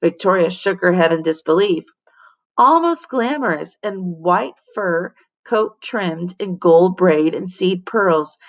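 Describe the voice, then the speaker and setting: A woman teacher reading a children's story aloud in an expressive storytelling style. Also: fairly low pitch, slow pace. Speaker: teacher reading to her class.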